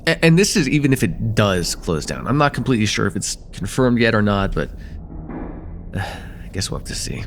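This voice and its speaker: A man's voice: fairly low pitch, very animated, brisk, gravelly, a little hiss or hum. A young man playing a friendly, slightly nervous college student in a casual, stammering slice-of-life ASMR roleplay chat. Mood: disappointed, wistful. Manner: hesitant, stammering, self-deprecating, casual.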